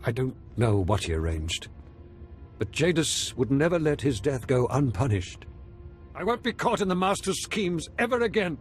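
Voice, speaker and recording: An actor playing a frightened, defiant old captive in a game cutscene. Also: male voice, mid-range voice, sing-song, normal speed, close to an everyday voice. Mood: fearful, defiant. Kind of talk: video game cutscene acting. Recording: music behind the voice.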